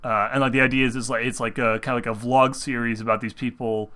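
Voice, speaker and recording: A man's voice: medium pitch, flat delivery, quick pace, sharp tone. A young man chatting casually to viewers about horror films and games, rambling with many restarts and fillers while sick. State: sick, talking through discomfort. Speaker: gaming video host.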